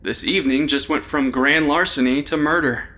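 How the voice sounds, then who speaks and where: An actor playing an irreverent, jittery amateur sleuth in a comedy audio drama, casual and sarcastic. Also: male voice, fairly high pitch, steady tone, normal speed, sharp tone.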